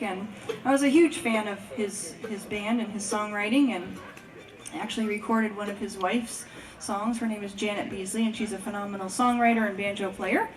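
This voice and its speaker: A woman's voice: medium pitch, distant mic, rough recording. A woman, a singer and bandleader, chatting casually and effusively to introduce songs from a live concert stage. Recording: live concert sound from off, guitar picking.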